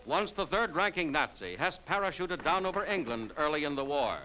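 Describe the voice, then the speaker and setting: A man's voice: fairly high pitch, animated, fairly quick, sharp tone, slight background noise, low-quality recording. A man delivering dramatic, emphatic scripted narration on a 1940s newsreel soundtrack.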